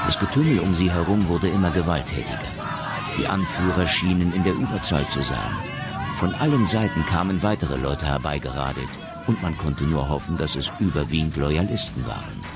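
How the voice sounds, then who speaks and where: A man's voice: a bass voice, expressive, moderate pace, over a noisy crowd. A man narrating a radio drama in a scripted storytelling style. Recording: loud music and urban ambience behind.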